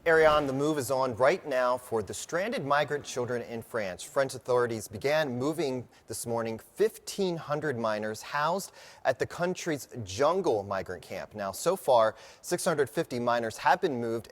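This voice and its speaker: Man, American accent, TV news presenter, scripted alerts and updates, broadcast delivery, medium pitch.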